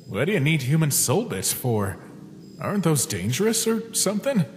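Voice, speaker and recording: A man's voice: on the high side, big pitch swings, moderate pace, background noise. A man playing a naive, timid young monster test subject, hesitant and childlike, in a dramatic comic dub. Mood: curious, unsure. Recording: long reverb, music behind.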